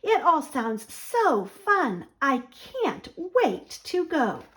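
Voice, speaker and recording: A woman's voice: sits high, sing-song, extremely slow, fairly bright tone, slight background noise, heavily acted, rough recording. A woman voicing an eager, scheming turkey for a children's storybook, exclaiming and gobbling when frustrated. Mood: eager, excited. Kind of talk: children's storybook read-aloud.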